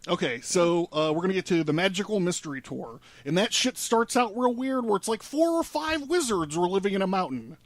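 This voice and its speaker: A man's voice: sits high, big pitch swings, a little fast, few pauses, no echo. A man presenting a case in casual studio talk, in an informal, rambling and sweary way. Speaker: presenter laying out a conspiracy theory.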